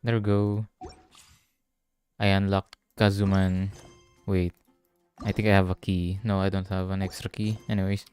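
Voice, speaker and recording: A man's voice: low-pitched, little pitch movement, moderate pace, pauses often, a little mellow. A man from the Philippines, giving offhand, slangy live gaming commentary over game music. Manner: offhand, slangy, reacting aloud. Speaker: VTuber game streamer.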